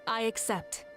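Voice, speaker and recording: A woman voicing a composed, confident female warrior leader in a video game, measured and courteous. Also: sharp tone.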